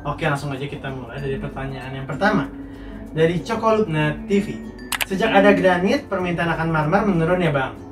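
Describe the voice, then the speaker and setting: A young man with a blocked, nasal voice from a cold walks through viewer questions on tiles and bricks in a relaxed, slangy way. His voice sits a touch high, recorded from a distance in an echoing room with faint music underneath. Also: a little fast. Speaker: contractor answering viewer questions. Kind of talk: casual Q&A monologue.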